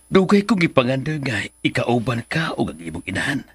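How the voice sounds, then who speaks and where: A man's voice: mid-range voice, big pitch swings, fairly quick, breathy edge, no room sound. A man playing an earnest, protective older father, solemn and pleading, in a radio drama.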